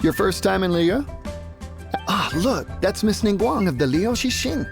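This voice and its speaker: A man's voice: high-pitched, expressive, unhurried, gravelly, music in the background, full character voice. A man playing a friendly, chatty longtime local in a fantasy video game, genial and polite. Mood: chatty, apologetic. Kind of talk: video game character dialogue.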